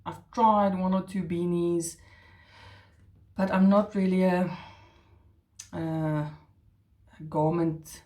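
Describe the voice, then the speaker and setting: A woman's voice: lowish voice, fairly even intonation, slow, pauses often, mellow. A middle-aged woman, an Afrikaans speaker, hosting her crochet channel in a chatty, self-deprecating way with hesitant restarts. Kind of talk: casual chat.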